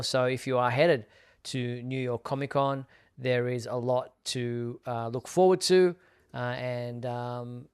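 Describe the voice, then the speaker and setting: A man's voice: mid-range voice, smooth. A man hosting a fan live show, presenting news casually in an easygoing, meandering way.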